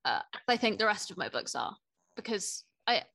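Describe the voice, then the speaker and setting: A woman's voice: mid-range voice, very animated, moderate pace, creaky, pushed and bright. A young woman co-hosting a casual book podcast, speaking with enthusiasm and some hesitant phrasing. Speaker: podcast co-host.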